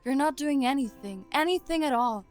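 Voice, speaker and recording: An actress as a young woman from a pop group in an audio drama, pitched a little high, bossy and short on patience. She gives curt commands over background music. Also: lively intonation, moderate pace. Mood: stern. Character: bossy, quick-tempered young female pop group member.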